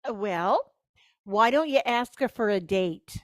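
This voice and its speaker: A woman's voice: mid-range voice, animated, slow pace, dry recording, clean studio sound. A woman playing a nagging, fussing mother. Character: fussing, encouraging mother of a grown son. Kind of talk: vintage radio play acting.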